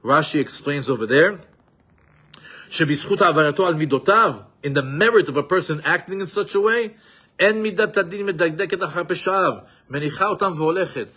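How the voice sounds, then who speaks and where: Animated New man teaching Torah. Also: a little high, a little fast, husky. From Israel, raised in New York. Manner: expressive, emphatic, mixes Hebrew quotes into English.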